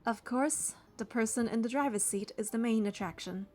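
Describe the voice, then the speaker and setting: A woman's voice: on the high side, moderate pace, mellow. A woman reading a video game's story text aloud, with casual asides as she plays.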